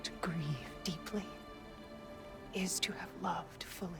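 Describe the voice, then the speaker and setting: A serene, wise wife from a dramatic video game. Her voice sits a little low and is soft, with measured, tender delivery. Music plays clearly behind her. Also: fairly even intonation. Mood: solemn, loving. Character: gentle, wise mortal wife, serene about her death.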